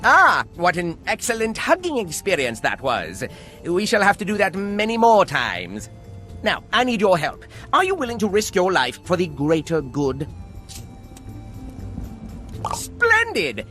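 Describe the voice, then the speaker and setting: High bright paranoid lil creature engineer, fussy and formal, game voice. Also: male voice, wildly swooping pitch, measured pace, soft background music, exaggerated voice. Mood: secretive. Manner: formal, old-fashioned, theatrical. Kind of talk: video game voice acting.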